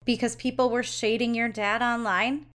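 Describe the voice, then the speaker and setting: Actress as young rich woman, high-pitched, catty, snide, sarcastic drawl, modern audio drama. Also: steady tone, normal speed, a bit bright, natural voice. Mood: catty, needling. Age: young adult. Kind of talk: audio drama acting, conversational.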